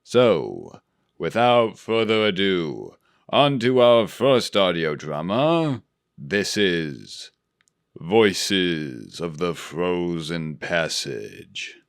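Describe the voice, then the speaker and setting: A man presents a show from a script. His voice is deep and gravelly, unhurried but animated in pitch. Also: dry recording, clean studio sound. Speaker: producer introducing the show.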